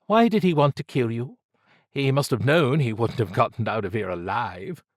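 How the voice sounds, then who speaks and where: A man voicing a steely, brisk woman codebreaker in an audiobook, with a clipped, cool and teasing manner. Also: mid-range voice, lively intonation, dry recording, studio quality, close to an everyday voice. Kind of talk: audiobook character dialogue.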